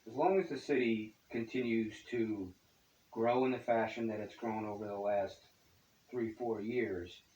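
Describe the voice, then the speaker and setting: A man, an accountant, answering interview questions casually, starting sentences haltingly with a wry streak. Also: lowish voice, darkish tone, muffled recording. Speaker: accountant, business partner. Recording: roomy echo, distant mic, faint hiss.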